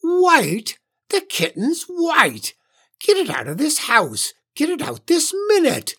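Storybook old witch, high-pitched, shrill, bad-tempered, self-pitying, snorting with indignation. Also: wildly swooping pitch, takes it slowly, slightly rough, exaggerated voice. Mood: furious shrieking. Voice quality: shrill, shrieking.

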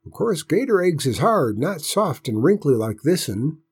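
A man's voice: sing-song, a little slow, breathy edge, full character voice. A man voicing a folksy, conspiratorial old country grandfather with a rural Southern US accent for a story. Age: older. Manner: drawling folksy dialect, pitched up from the narration. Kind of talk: storybook character voice in narration.

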